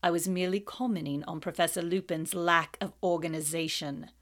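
A woman voicing a cold, sarcastic male teacher who speaks slowly, quietly and with silky menace. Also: fairly low pitch, fairly even intonation, natural voice. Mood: cold, menacing. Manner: slow, quiet, icy and sarcastic.

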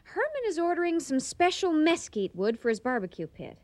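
A woman's voice: high-pitched, sing-song, fairly quick, darkish tone, no echo. A woman playing a feisty, indignant restaurant owner with a sarcastic streak in a radio comedy. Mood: scheming. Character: exasperated, feisty female restaurant owner, competitive and vengeful.

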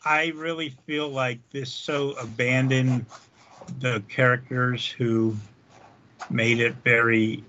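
Relaxed male podcast co-host, slow delivery, dull lo-fi sound. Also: medium pitch, slight background noise. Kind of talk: casual chat recapping episodes.

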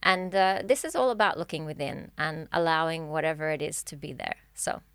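A woman's voice: low voice, brisk, a bit bright, clean tone, no echo. A woman life coach speaking as a podcast guest in an earnest, encouraging way, often restarting her phrases. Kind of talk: casual podcast chat.

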